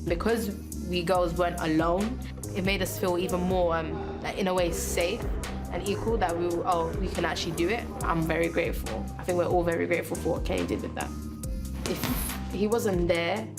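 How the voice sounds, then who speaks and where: A woman's voice: on the low side, animated, normal speed, over music. A young woman boxer speaking casually and heartfelt in an interview, with lots of fillers. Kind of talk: documentary interview.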